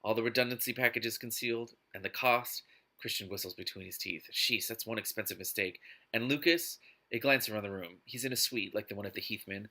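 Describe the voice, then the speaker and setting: Male reader-commentator, forceful bright tone, exasperated and emphatic delivery. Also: lowish voice. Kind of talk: scripted reading broken by indignant commentary.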